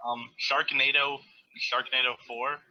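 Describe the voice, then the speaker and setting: A man's voice: medium pitch, flat delivery, measured pace, pushed and bright, muffled recording. A young man co-hosting a casual podcast, dropping dry, joking remarks. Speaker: podcast co-host.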